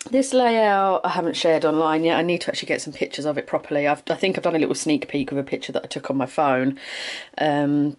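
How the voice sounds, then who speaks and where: A woman's voice: deep voice, a little mellow. A woman in her forties with a sore throat and a sniffly cold, chatting casually in a vlog from her home craft room, rambling and restarting as she shows her work. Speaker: craft vlogger. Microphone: camera close to face, then pointed down at the desk.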